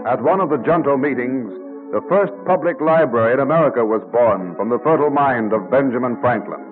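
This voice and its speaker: A man's voice: medium pitch, animated, brisk. A man giving formal, grand scripted narration in the style of old-time radio drama. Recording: narrow-band vintage radio recording. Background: faint music.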